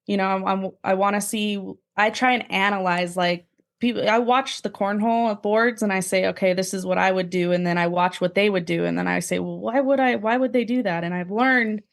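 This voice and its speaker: A woman's voice: medium pitch, steady tone, brisk, gravelly. A woman chatting casually as a guest, thoughtful and explanatory in how she talks. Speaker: podcast guest, amateur cornhole player. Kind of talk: casual chat.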